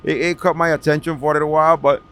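A middle-aged man from New City, reminiscing casually and haltingly as a podcast guest. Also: a little high, little pitch movement, a bit bright. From New York City, Washington Heights. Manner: halting, repeats words. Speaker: podcast guest, veteran street racer. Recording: clear background noise of racing event. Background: vehicle and event noise.

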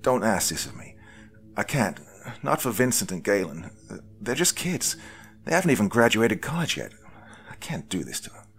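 A man's voice: low-pitched, lively intonation, brisk. A man voicing a grief-stricken, pleading young man with a trembling voice in a horror story. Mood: despairing.

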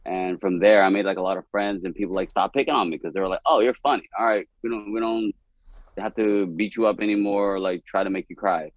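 A man's voice: fairly low pitch, fairly even intonation, fast talker, dry recording. A young man from East Dallas, Texas, talking candidly as a comedian guest on a podcast, rambling and laughing often. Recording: dull, dry podcast audio.

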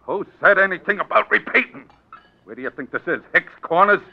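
An actor playing a shady, glib American small-time political fixer in a 1940s radio drama, persuading in folksy slang. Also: male voice, high-pitched, animated, brisk, vocal fry, exaggerated voice. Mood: mock-indignant. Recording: muffled 1940s radio recording.

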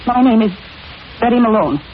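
An actress as an anxious, high-voiced radio heroine. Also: female voice, animated, normal speed, lo-fi sound, lightly acted. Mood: accusing, desperate. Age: young adult. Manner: urgent, pleading, melodramatic. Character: anxious, insistent young woman seeking her missing sister. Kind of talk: 1940s radio horror acting. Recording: band-limited old radio recording, faint noise.

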